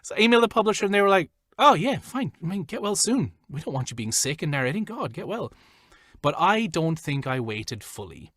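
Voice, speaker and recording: A man's voice: on the high side, sing-song, a little fast, a bit bright, no echo. Male voiceover guest, casual and rambling, dry close mic. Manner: chatty, loose, lots of "like" fillers. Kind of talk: casual interview chat.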